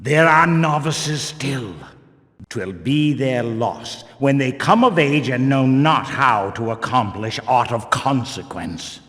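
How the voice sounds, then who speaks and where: A zealous, pious male temple guard for a fantasy video game. He declaims in archaic, righteous phrases with big swings of pitch. The delivery is slow and weighty, drenched in long hall reverb. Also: expressive, a bit bright. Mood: grave, disapproving. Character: stern, zealous male religious warrior guard of a builder cult.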